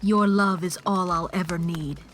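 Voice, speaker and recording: A woman's voice: on the low side, steady tone, moderate pace, faint music behind, natural voice. A woman playing a haughty, scheming changeling queen and older sister in an audio drama, boastful and condescending. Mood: haughty, mocking. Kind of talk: fan audio drama acting.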